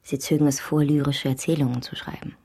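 Radio play: young émigré widow, low register, composed, forward, enigmatic. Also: female voice, level tone, fairly quick, dark tone, slightly rough. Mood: calm, intimate. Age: young adult. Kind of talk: radio drama acting.

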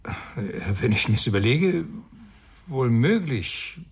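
A shaken father in a radio crime drama. His mid-pitched voice is creaky and husky, and he answers haltingly, hesitating as he goes. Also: big pitch swings, heavily acted. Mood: hesitant.

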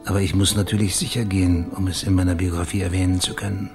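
An actor in a German radio crime drama playing a polite, persistent investigator with a matter-of-fact way of asking questions. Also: male voice, low-pitched, level tone, quick pace, darkish tone, soft background music. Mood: polite, probing. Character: male investigator posing as biographer, polite, probing.

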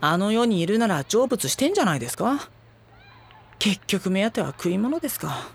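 A reserved, sardonic teenage schoolboy. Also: male voice, high-pitched, very animated, moderate pace, creaky, slightly rough, heavily acted. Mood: dry, exasperated. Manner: polite, dry, exasperated. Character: reserved, sardonic high school boy, first-person narrator. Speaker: actor. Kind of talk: audio drama narration and dialogue.

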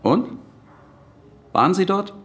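An actor playing a brusque, officious police official of the Nazi era, curt and interrogating, in a German radio drama. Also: male voice, high voice, lively intonation, slow pace. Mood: suspicious, officious.

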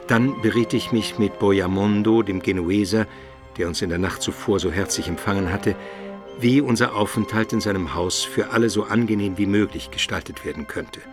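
An actor playing a learned, inquisitive Byzantine gentleman in a German radio play, speaking in a measured and articulate way. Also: fairly low pitch, over music, close to an everyday voice.

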